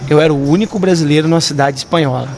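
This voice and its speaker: A man's voice: fairly high pitch, racing, a little mellow. A Brazilian man co-hosting in casual banter, slangy and joking, swearing freely. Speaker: podcast co-host. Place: poker club room, indoors. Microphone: desk microphone. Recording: busy room crowd murmur behind.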